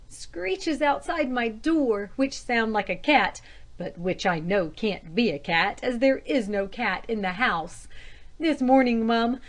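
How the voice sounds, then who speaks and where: A woman voicing a frightened, indignant female cook in a storybook, explaining herself condescendingly to her mistress. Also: fairly high pitch. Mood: indignant complaint. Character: frightened, indignant female household cook, plain-spoken and deferential.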